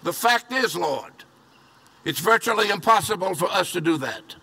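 Older preacher, bright and earnest. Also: male voice, sits high, expressive, normal speed, vocal fry, breathy edge. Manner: earnest, repeating phrases for emphasis. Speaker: pastor. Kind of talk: sermon. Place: outdoor church parking lot. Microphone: podium mic from a distance.

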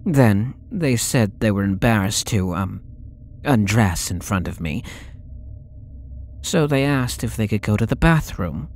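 A man's voice: lowish voice, lively intonation, unhurried, slight background noise. A young man playing a possessive, lovesick stalker under interrogation in an ASMR audio roleplay, coy, pleading and theatrical, drawing out his words. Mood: wistful, sheepish. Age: young adult.

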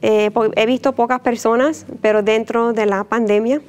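A woman in her thirties from Puerto Rico, a religious sister giving a gentle, unhurried personal testimony to camera on a lapel mic in a church chapel, with soft fillers and small laughs. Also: a little high, steady tone, clean tone. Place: indoor church chapel, mid-sized.

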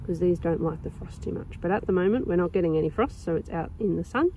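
A young woman with a croaky sore throat, chatting casually and rambling with lots of ums while filming her garden outdoors on a phone. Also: lowish voice, animated, fast talker, highs cut off, slight background noise. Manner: rambling, chatty, with many ums and soft laughs. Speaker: gardening vlogger. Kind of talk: casual chat.

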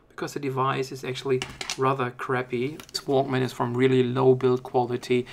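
A man's voice: medium pitch, a little hiss or hum. A man narrating a tech review in a casual way.

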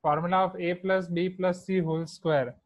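A man with an Indian accent teaching a maths class online, dictating each term slowly as he writes on a whiteboard. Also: high voice, fairly even intonation, no echo, muffled recording. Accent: Indian English. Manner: slow, deliberate dictation of terms while writing on a whiteboard.

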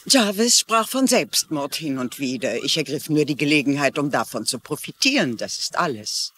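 An actress playing a defensive, evasive middle-aged former secretary in a German-dubbed TV crime drama, guarded in manner and husky. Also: female voice, deep voice, expressive, moderate pace, a bit bright, slight background noise.

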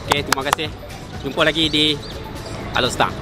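Slightly high-voiced man cheering his team. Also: fairly even intonation, normal speed. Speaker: football supporter being interviewed. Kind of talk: casual chat. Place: outdoors outside a stadium. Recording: music over outdoor street audio.

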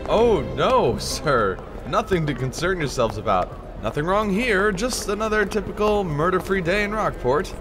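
A man's voice: high voice, expressive, normal speed, hardly any pauses, over music. A man voicing a polite, formal male train concierge in a fan comic dub, courteous and a bit flustered.

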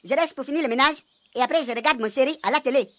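A woman's voice: high voice, racing, fairly bright tone. A woman playing a chatty, eager, naive housemate in a comic radio serial.

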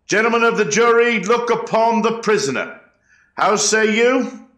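A colonial court clerk, played by a man, proclaims in a high voice with ceremonial formality. His ritual, declamatory delivery rings in an echoey room, as in a historical trial reenactment. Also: a little slow, fairly bright tone, slight background noise. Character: formal eighteenth-century court clerk taking jury verdicts.

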